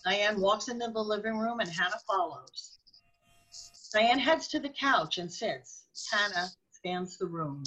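A woman narrates a radio drama from a script, setting out each scene. Her mid-pitched voice is bright and sharp with a husky grain. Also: expressive, unhurried, faint music behind, rough recording.

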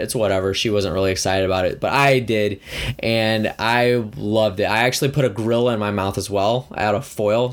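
Raspy young man rambling excitedly. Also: on the low side. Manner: rambling, excitable, lots of fillers and restarts. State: hoarse from screaming at a concert. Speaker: podcast host. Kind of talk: casual solo podcast chat.

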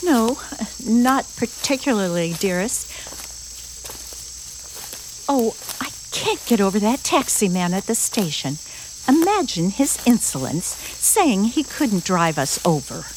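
An actress playing a naive, romantic, anxious newlywed bride in an old-time suspense radio drama, with gushing, halting speech. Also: female voice, mid-range voice, very animated, a little hiss or hum, low-quality recording. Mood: chatty, flustered.

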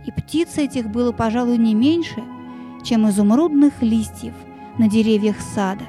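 A woman's voice: fairly high pitch, normal speed, dark tone, faint music behind. A woman narrator telling a fairy tale expressively in an audio play.

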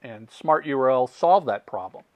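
A man's voice: mid-range voice, lively intonation, normal speed, no echo. A man hosting a music business podcast, talking in a friendly, conversational way with ums and repeated words. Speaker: podcast host. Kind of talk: casual interview talk.

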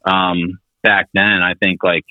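A young man from Finland talks through his story in a loose, rambling way. His voice sits a little low and the sound is dry and narrow, like a phone line. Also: little pitch movement, takes it slowly, dry recording. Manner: rambling, laid-back, lots of fillers. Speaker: guest. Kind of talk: casual podcast chat. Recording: tinny phone-like audio.

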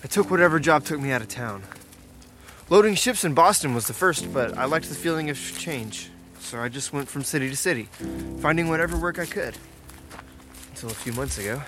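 A man playing a guarded, low-key drifter and hunter in an audio drama, speaking in terse, hesitant lines. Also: lively intonation, a little fast, music in the background, lightly acted. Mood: reserved, reflective. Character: guarded, drifting outdoorsman from Maine who grew up hunting. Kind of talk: naturalistic audio drama dialogue.

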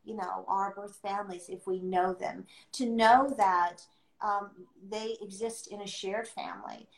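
A middle-aged woman speaking reflectively and conversationally on a livestream, earnest and deliberate, pausing as she chooses her phrases. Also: mid-range voice, steady tone, vocal fry. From born outside the US, adopted into America. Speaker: podcast host sharing her experience as an adoptive mother. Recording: roomy, echoing sound.

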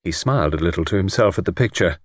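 A man's voice: low-pitched, expressive, quick pace, no echo, studio quality. A man with an English accent reading literary fiction as an audiobook narrator. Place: dry studio.

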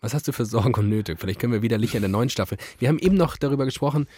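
A man's voice: mid-range voice, rapid-fire, a little mellow, husky, no room sound, studio quality. A thirty-year-old man co-hosting a podcast in casual, rambling, self-ironic banter. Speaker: podcast co-host.

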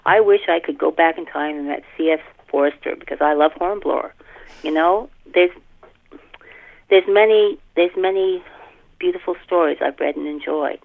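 A woman's voice: deep voice, moderate pace, gravelly. Older woman on a tinny phone line. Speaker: author interview guest. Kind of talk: casual podcast conversation. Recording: thin band-limited phone line.

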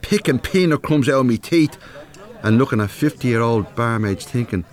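A man's voice: mid-range voice, animated, normal speed, lightly acted. An actor playing a plain-spoken, easily irritated older man with a Dublin accent in a radio drama. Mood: earnest, grumbling. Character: rough-edged, easily irritated older widowed working man.

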